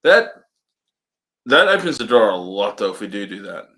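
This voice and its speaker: A man co-hosting a relaxed podcast chat. He answers slowly and hesitantly and laughs easily along the way. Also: on the low side. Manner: laid-back, hesitant, laughs often. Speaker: podcast co-host. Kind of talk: casual chat.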